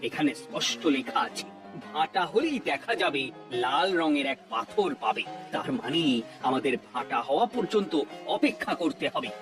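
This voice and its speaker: A man's voice: fairly high pitch, big pitch swings, moderate pace, music in the background. A man playing a kindly, authoritative maharaja in a cartoon, with a regal, declamatory manner. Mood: commanding, curious. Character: kindly, authoritative Bengali maharaja who protects animals. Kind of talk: cartoon voice acting.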